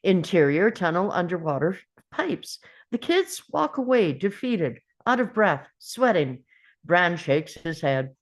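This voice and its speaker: A woman's voice: lowish voice, sing-song, a little slow. A woman narrating a screenplay's scene directions at a table read.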